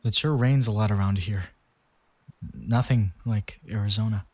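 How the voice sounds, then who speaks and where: A man's voice: lowish voice, moderate pace, darkish tone. An actor playing a blunt young man with an interest in the occult, who talks in a blunt, sometimes crude way. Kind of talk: audio drama acting.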